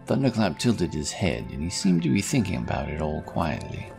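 A man's voice: extremely low pitch, very animated, moderate pace, creaky, over music. A man reading scripted fantasy narration as the storyteller.

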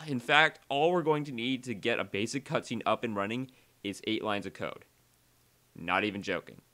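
Man, tutorial voice-over, bright tone, animated pitch, conversational, uneven energy. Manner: conversational, self-interrupting, uneven energy, dwells on key words. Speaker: tutorial creator and presenter. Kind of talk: casual software tutorial voice-over.

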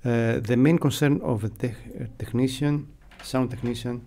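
A middle-aged man talks in a dark, relaxed voice of average pitch. He explains his points in measured, deliberate phrases as an interviewee, close to a studio microphone. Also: creaky. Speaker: radio sound technician, interviewee. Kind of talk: unscripted explanatory interview answers. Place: acoustically padded radio studio. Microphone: close broadcast mic.

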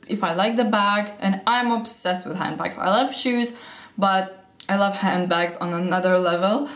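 A woman's voice: medium pitch, reverberant. A young woman in her twenties, a little throaty from a cold, chatting eagerly about what she carries in her handbag. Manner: chatty, gushing, a little breathless. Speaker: beauty and fashion vlogger. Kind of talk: casual what's-in-my-bag chat. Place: sunny room at home, indoors. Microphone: camera facing her, sitting close.

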